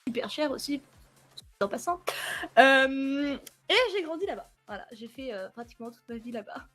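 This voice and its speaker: Une jeune femme du sud de la France, invitée d'une émission esport, qui parle de son parcours sur un ton joueur et moqueur envers elle-même, en riant souvent. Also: female voice, high voice, animated, normal speed, fairly bright tone, soft background music. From southern France, near Aix-en-Provence. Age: young adult. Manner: playful, self-mocking, laughs often. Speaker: guest, esports team member. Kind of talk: casual interview chat.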